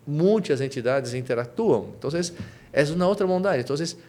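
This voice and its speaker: A middle-aged man from Brazil with a Lima Spanish accent and Portuguese roots, explaining his company's work as an interview guest, often tagging his points with a quick question and restarting phrases. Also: on the high side, lively intonation, dark tone. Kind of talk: conversational interview.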